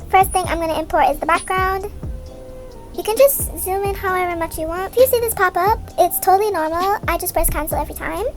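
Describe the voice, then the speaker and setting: Young woman doing a casual tutorial voiceover, really high voice, music in the background. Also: fairly quick. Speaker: video creator and host.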